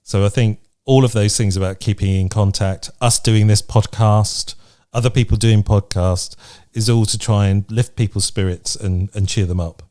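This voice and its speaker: A man's voice: fairly low pitch, fairly even intonation, moderate pace, dark tone. A man co-hosting a podcast in casual chat, with an encouraging, upbeat manner. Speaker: podcast co-host.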